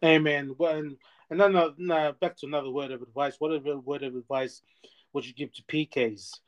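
A man hosting a podcast interview, lively and chatty, often repeating "amen" and filling his talk with restarts. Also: fairly high pitch, a little fast, lo-fi sound. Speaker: podcast host.

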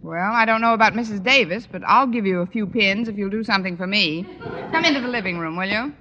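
An actress playing a wry, sharp-witted schoolteacher with dry, sardonic delivery in a 1950s radio sitcom. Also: female voice, mid-range voice, quick pace, muffled recording, a faint crowd, lightly acted. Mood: dry, composed. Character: wry, sharp-witted female schoolteacher, exasperated but composed. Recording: old radio recording, slight noise.

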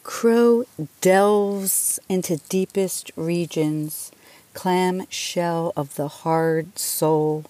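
A woman poet reciting her own verse solemnly, with deliberate, dramatic phrasing. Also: lowish voice, steady tone, warm tone. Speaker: poet reading own poems.

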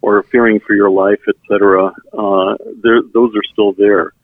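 A middle-aged man from South Texas whose first language is German, telling his personal story in an earnest, halting way as an interview guest. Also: fairly low pitch, flat delivery, dry recording. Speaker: interview guest, member services volunteer. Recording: tinny phone-like sound, band-limited.

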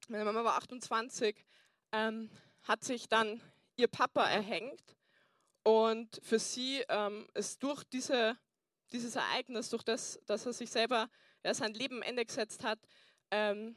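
A woman's voice: fairly high pitch, a bit bright, no room sound, clean studio sound. A young woman pastor preaching a sermon and telling her personal testimony, earnest with frequent fillers. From Bavaria, Germany.

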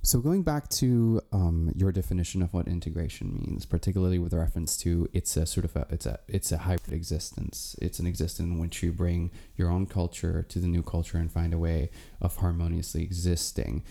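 A man interviewing, framing a long question in a halting way with repeated words. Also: low-pitched, fairly even intonation, vocal fry, mellow.

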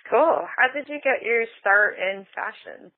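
A woman's voice: fairly high pitch, measured pace, dry recording. A woman interviewer asking short, upbeat questions. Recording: tinny phone-line sound, dry.

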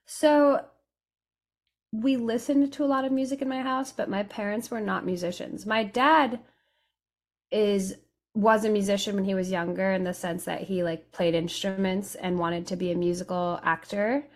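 A woman who grew up in Europe, talking casually as an interview guest, explaining things reflectively with thinking pauses. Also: mid-range voice, steady tone. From Europe: Italy, England and France.

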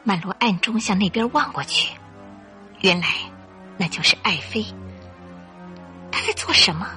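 A woman narrating a scripted radio drama in an expressive, suspenseful storytelling style. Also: medium pitch, a little slow, soft background music.

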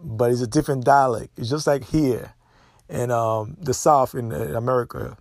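A man's voice: medium pitch, normal speed, dry recording. Man, solo podcast host, emphatic and repetitive, dry close sound. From Haiti. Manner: opinionated, emphatic, repeats himself.

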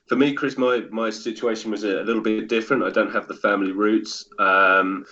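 An English man in his thirties, a podcast guest telling relaxed stories with self-deprecating humor. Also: fairly low pitch, a little fast. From England. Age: 30s. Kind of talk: casual podcast interview. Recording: dull, lo-fi sound.

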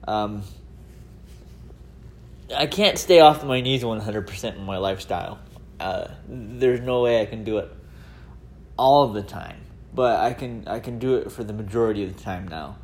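A man's voice: on the low side, normal speed, a little mellow. Chatty American man, casual podcast diary. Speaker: podcast host. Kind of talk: casual personal audio diary. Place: indoors at home, room with laminate floor.

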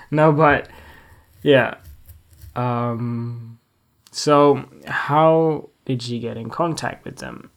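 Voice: medium pitch, drawn-out words, long pauses. A young adult photographer from Sweden, talking casually to camera in a rambling, chatty way full of fillers and restarts. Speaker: freelance photographer. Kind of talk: casual vlog talk to camera.

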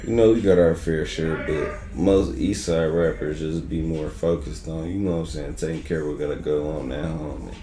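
Deep-voiced laid-back rapper. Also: male voice, fairly even intonation, moderate pace, hardly any pauses, roomy sound, music in the background. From Detroit, USA. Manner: laid-back, loose slang with frequent "you know what I'm saying". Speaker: rapper guest. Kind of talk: casual interview chat.

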